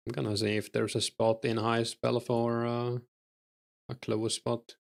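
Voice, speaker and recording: A man's voice: medium pitch, monotone, measured pace, creaky. A man with a Finnish accent chatting casually with his stream chat while he plays, answering viewers' questions with an easygoing, filler-laden delivery. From Finland. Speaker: game streamer.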